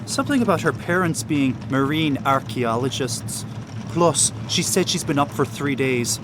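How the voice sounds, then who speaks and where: An actor playing a gallant, easygoing, flirtatious boat mate in an audio drama. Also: male voice, on the high side, lively intonation, normal speed, background noise, close to an everyday voice. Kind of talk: full-cast audio drama acting. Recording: music behind the voice.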